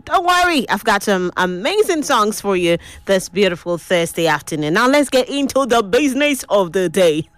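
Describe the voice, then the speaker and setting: A woman's voice: mid-range voice, dry recording. A Nigerian-accented woman hosting a radio talk show, chatting and putting questions to listeners. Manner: conversational, asks listeners questions. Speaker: radio host.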